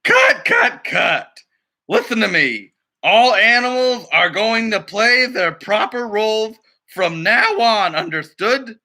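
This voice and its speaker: A man voicing a bossy, exasperated duck film director with a shouty, commanding manner in a picture book read-aloud. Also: sits high, very animated, slow pace, voice pushed up, heavily acted.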